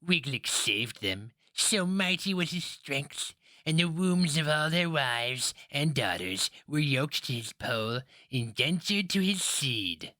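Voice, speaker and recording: A man voicing a canny old matriarch, husky, scolding and mocking, in a fantasy story reading. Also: fairly high pitch, sing-song, slow pace, pushed and bright, no echo, voice pushed up, heavily acted. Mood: lecturing, scornful. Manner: scolding, rueful, teasing.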